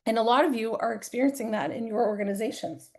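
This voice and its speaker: A woman presenting at a conference session, hoarse from a cold and often clearing her throat, talking earnestly and conversationally with frequent fillers. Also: a little high, quick pace. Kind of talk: live-streamed conference talk.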